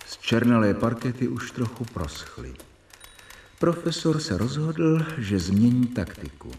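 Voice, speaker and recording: A man's voice: medium pitch, big pitch swings, a little mellow, a little hiss or hum. An actor in a radio drama playing an earnest male doctor, narrating reflectively as if dictating a diary. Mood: reflective, troubled. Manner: reflective, dictating and correcting himself. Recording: echoey, distant from mic, faint music.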